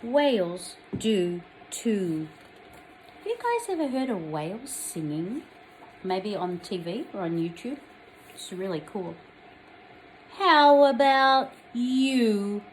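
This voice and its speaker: An Australian woman reading a picture book aloud to young children, expressively, pausing to put questions to them. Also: mid-range voice, slight background noise, poor audio. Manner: addresses young children directly, expressive storytelling.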